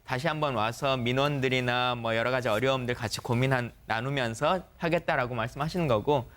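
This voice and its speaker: A man's voice: medium pitch, fairly quick, fairly bright tone. A man on a news panel explaining in formal, polite phrasing. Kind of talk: panel analysis.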